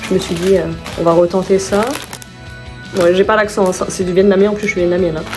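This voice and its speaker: A woman's voice: mid-range voice, steady tone, quick pace, music in the background. A woman vlogging casually at home, chatting and rambling through her shopping haul, often stopping to correct herself and laughing at her own slips.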